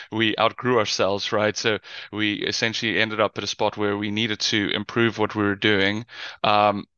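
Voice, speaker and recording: A man's voice: fairly low pitch, little pitch movement, unhurried, bright, forceful tone, smooth, dry recording, muffled recording. A man with a South African accent giving a conversational webinar presentation, with many fillers and restarts. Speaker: guest presenter, business intelligence lead.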